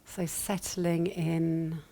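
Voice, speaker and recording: Slow, low-voiced woman guiding meditation. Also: flat delivery, vocal fry. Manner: gentle, soothing, long pauses between short phrases. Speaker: yoga nidra meditation teacher. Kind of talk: guided meditation.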